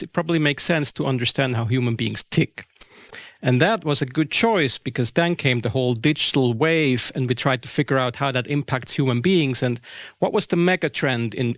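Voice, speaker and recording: A man's voice: mid-range voice, creaky, a bit bright, no echo, clean studio sound. A man in his forties from Switzerland speaking as a consultant at a business conference, conversational and often correcting himself mid-sentence. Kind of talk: interview and conference presentation.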